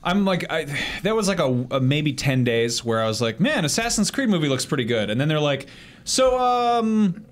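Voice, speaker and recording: A man's voice: fairly high pitch, big pitch swings, normal speed. A man in casual joking chat, incredulous and prone to acting out bits. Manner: jokey, incredulous, acts out bits. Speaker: podcast panelist.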